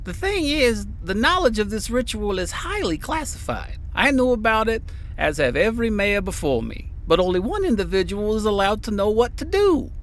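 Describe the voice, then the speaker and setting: A man playing an anguished, accusing city mayor in an audio drama, with a dramatic, halting delivery. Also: sits high, very animated, poor audio. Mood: anguished, grim.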